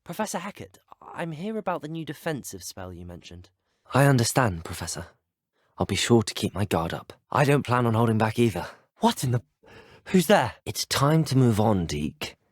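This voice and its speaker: An actor playing a polite, plucky teenage student wizard in a video game, with a British accent and a courteous, dryly wry delivery. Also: male voice, fairly low pitch, sing-song, moderate pace, slightly rough, no room sound, studio quality. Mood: quiet, respectful, then alarmed, then sympathetic. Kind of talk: video game voice acting, short dialogue lines.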